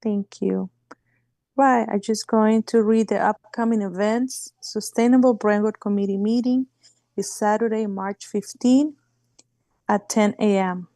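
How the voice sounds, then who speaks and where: Hoarse mayor with a mellow voice. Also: female voice, medium pitch, no echo, studio quality. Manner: halting, with fillers and restarts. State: sore throat. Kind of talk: chairing a council meeting. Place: home, on a video call. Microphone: video call.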